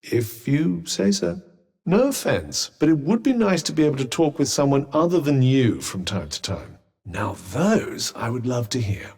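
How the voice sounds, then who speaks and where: Game companion, sentient magic cuff: British, pompous and articulate, dry sarcasm, highly animated pitch. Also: male voice, normal speed, husky. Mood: dry, sarcastic. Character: sardonic, haughty talking magical cuff, erudite male companion. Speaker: voice actor. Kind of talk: video game companion dialogue.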